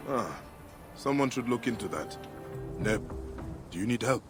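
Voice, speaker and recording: An actor playing a stern, steady ancient Egyptian warrior and protector in a video game, speaking in a measured, solemn way. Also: male voice, medium pitch, lightly acted. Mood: calm, curious. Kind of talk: dramatic video game acting. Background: loud music.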